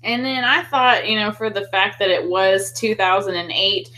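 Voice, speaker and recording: A woman's voice: mid-range voice, steady tone, moderate pace, bright, forceful tone, low-quality recording. A woman co-hosting a casual film discussion, talking in an enthusiastic, chatty, informal way. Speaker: podcast co-host.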